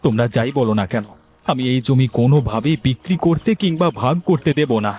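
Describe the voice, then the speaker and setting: A man's voice: mid-range voice, lively intonation, a little fast, lightly acted. A man voicing an earnest, stubborn youngest brother from a farming village in a cartoon, questioning and protesting. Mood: defiant. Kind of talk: Bengali cartoon story dubbing. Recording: dull lo-fi audio.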